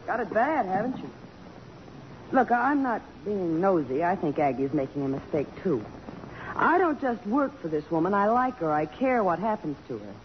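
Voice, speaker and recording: A woman's voice: mid-range voice, big pitch swings, normal speed, close to an everyday voice. An actress playing a frank, loyal female assistant with a dry, plain-spoken manner in vintage radio drama. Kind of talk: mid-century American radio acting. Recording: dull, noisy old radio broadcast recording.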